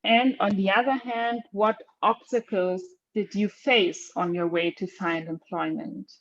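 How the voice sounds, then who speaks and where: A woman's voice: mid-range voice, fairly even intonation, a little slow. A woman moderating an online webinar in an informal, encouraging way. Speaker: host, moderator. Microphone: video call. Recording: slightly dull online call audio.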